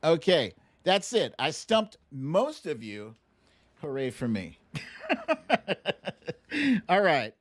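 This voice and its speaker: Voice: mid-range voice, very animated, drawn-out words, pauses often. An American livestream host chatting casually and reading out viewer names in a playful, self-deprecating way during a song guessing game.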